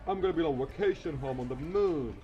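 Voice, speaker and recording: Man voicing a boastful, excitable teenage boy. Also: a little high, fairly quick, dull audio, pitched above the performer's own voice, lightly acted. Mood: proud, excited. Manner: bragging, exclamatory. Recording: loud music behind.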